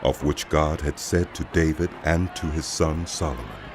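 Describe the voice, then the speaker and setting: A man narrating scripture for a dramatized audio production in a solemn, measured storytelling manner. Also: a bass voice, noisy background. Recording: loud music behind the voice. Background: loud music.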